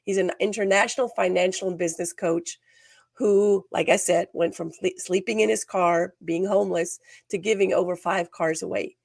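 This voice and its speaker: Slightly hoarse woman hosting, gravelly edge. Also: fairly low pitch, moderate pace, no room sound, clean studio sound. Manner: energetic, emphatic, restarts phrases. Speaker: podcast host. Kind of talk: scripted show opening and interviewing.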